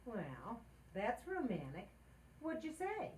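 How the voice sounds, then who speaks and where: A woman playing a scheming, excitable housewife with dry wit, wisecracking theatrically in an old-time radio sitcom. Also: on the high side, slow, full character voice. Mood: wry.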